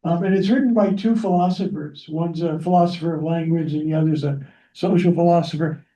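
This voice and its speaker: Man, panel moderator, high pitch, very dark mellow tone, unscripted, halting, echoey distant recording. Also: fairly even intonation. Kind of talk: unscripted remarks at a library panel. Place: echoing library event room. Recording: roomy, muffled, distant microphone.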